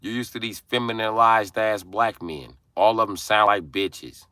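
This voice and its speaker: A young adult man ranting angrily and forcefully on a livestream from inside his car. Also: fairly low pitch, unhurried, dry recording. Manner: angry, forceful, profane ranting. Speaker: livestream host. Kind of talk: angry profane livestream rant.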